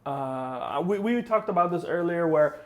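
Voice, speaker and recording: Lively young man telling stories. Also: a little high, measured pace. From Saudi Arabia. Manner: animated storyteller acting out quotes. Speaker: podcast co-host. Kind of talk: casual conversation.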